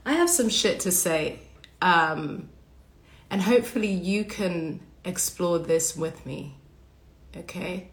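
A woman hosting a casual livestream monologue, hoarse and raspy from a cold, talking passionately and bluntly with casual swearing. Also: on the low side, expressive, slow, reverberant. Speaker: podcast host.

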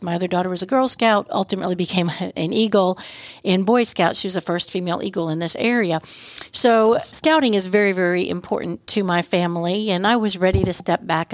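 A woman's voice: on the low side, no room sound. A middle-aged woman talking as a podcast guest, earnestly telling personal anecdotes and quoting the people in them. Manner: earnest, anecdotal, quotes dialogue in stories. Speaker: podcast guest, school board member and scout leader. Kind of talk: conversational personal storytelling.

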